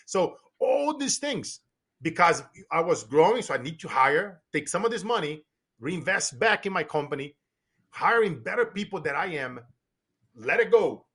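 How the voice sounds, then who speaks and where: A man's voice: fairly high pitch, moderate pace, breathy edge. A middle-aged man from Brazil, a business coach, talking emphatically as a podcast guest, with many restarts. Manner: animated, repetitive restarts, emphatic. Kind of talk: casual podcast conversation.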